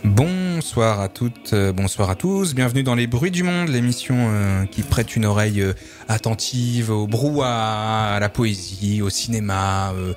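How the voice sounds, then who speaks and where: A man's voice: on the low side, lively intonation, a little slow. A man hosting a community radio music show, hesitating with many fillers and restarts and laughing easily. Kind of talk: casual radio chat.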